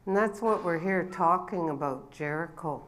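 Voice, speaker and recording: A woman in her sixties, an elder giving a welcome address on a stage, telling her family's history in an unhurried way with a body-worn mic. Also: lowish voice. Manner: slow, unhurried storytelling with rising ceremonial calls. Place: dark event stage before an audience.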